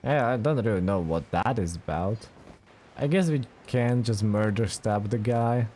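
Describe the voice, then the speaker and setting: A man's voice: on the low side, normal speed, mellow, soft background music. A man giving casual, skeptical let's play commentary in a dry manner.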